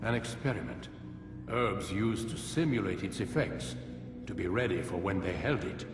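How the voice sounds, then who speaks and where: A man playing a grave, wise master of an assassin order in a video game, speaking in measured, solemn oratory. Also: low voice, animated. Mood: grave, intent. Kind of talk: dramatic video game dialogue. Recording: echoey hall, distant, music behind.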